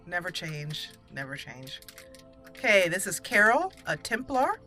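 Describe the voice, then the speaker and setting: A woman hosting a roleplay let's play, thinking aloud in a deliberate way as she comments. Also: lowish voice, big pitch swings, sharp tone, faint music behind. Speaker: gaming channel host.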